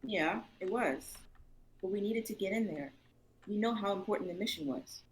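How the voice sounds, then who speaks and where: A woman's voice: mid-range voice, animated, moderate pace, a little hiss or hum, lightly acted, poor audio. A young woman playing an outspoken, protective big sister in an amateur livestreamed drama reading. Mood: firm, reassuring. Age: young adult.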